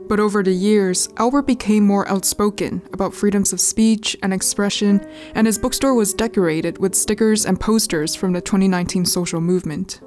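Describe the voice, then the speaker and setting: A woman's voice: medium pitch, normal speed, hardly any pauses, a little mellow, faint music behind. A young adult woman from California with an American accent, reading scripted narration as a podcast host. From California, United States.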